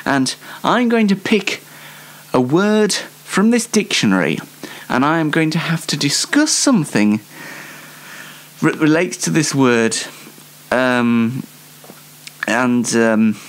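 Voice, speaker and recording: A man hosting a casual solo radio-style show, rambling along in a whimsical, self-deprecating way. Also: medium pitch. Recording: music bed behind the voice. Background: loud plucked guitar music bed.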